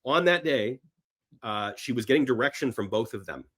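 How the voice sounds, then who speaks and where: Male podcast guest, mid-pitched voice, emphatic raconteur casually recounting movie history and acting out quotes. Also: animated, normal speed, fairly bright tone. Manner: emphatic storyteller, often voicing quotes. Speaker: podcast guest, film industry veteran. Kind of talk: casual anecdotal film-history conversation.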